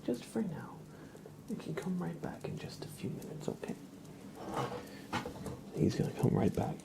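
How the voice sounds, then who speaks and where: A man hosting an ASMR livestream, chatting casually to viewers in a hushed, soothing whisper. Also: a little high, big pitch swings, moderate pace, creaky. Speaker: livestream host.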